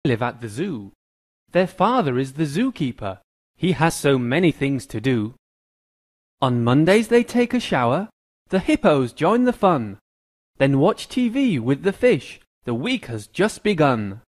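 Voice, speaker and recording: A man's voice: on the high side, very animated, unhurried. A man with an American accent reading a scripted rhyming story for children. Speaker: narrator.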